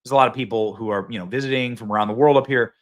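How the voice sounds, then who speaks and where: A man hosting a live political talk show from a phone, excitable and rambling, often repeating himself. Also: mid-range voice, rapid-fire. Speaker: host.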